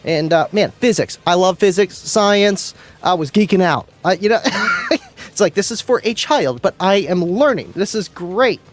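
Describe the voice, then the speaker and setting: A man hosting a casual livestream, chatting excitedly with viewers, laughing often and tripping over names. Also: sits high, measured pace, slight background noise. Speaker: livestream host. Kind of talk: casual livestream chat reading viewer comments. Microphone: studio mic.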